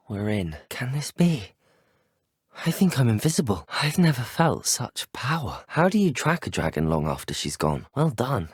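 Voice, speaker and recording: A young British student wizard, the hero of a video game. He speaks politely and with curiosity in a low, slightly husky voice. His delivery is measured and well-spoken, recorded very dry and close. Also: no echo. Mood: earnest, composed, then wondering. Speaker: video game player character. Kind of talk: scripted game dialogue.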